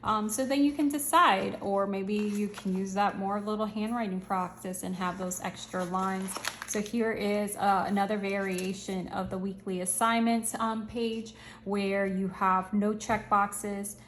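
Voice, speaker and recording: Upbeat, slangy woman host, fraying hoarse voice, steady flat delivery. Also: mid-range voice, a little slow, reverberant, a little hiss or hum. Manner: chatty, upbeat, slangy, thinks aloud. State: losing her voice, slightly hoarse. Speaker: channel host reviewing planners. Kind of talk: casual product review walkthrough.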